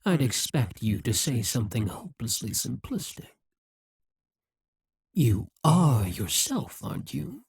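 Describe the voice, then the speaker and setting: Performer acting a haughty demon ruler, very breathy and husky, dark mellow tone, condescending, coldly possessive, ASMR roleplay. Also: mid-range voice, sing-song, no echo, full character voice. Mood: possessive, uneasy. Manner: slow, musing, condescending.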